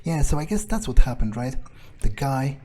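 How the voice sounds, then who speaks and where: A man improvising in-character game commentary as a jaded vampire, thinking aloud in a deadpan, sardonic, musing way. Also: mid-range voice, normal speed, noisy background. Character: jaded, unimpressed male vampire muttering his thoughts. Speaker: let's player roleplaying in character.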